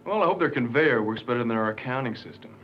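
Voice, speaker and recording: An actor playing a polite but firm manager querying a billing error, in a 1980s training film. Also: male voice, mid-range voice, animated, fast talker, background noise, natural voice. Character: calm office manager querying a bill. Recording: muffled film soundtrack.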